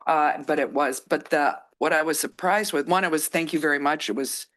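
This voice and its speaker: A council member who talks in a halting way, full of restarts and fillers, at a public meeting. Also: male voice, a little high, fairly bright tone.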